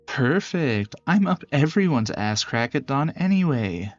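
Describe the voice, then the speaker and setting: A man's voice: mid-range voice, very animated, a little slow, no room sound, lo-fi sound, faint music behind. A man voicing a confident, bossy young female fight trainer in a visual novel, commanding and teasing.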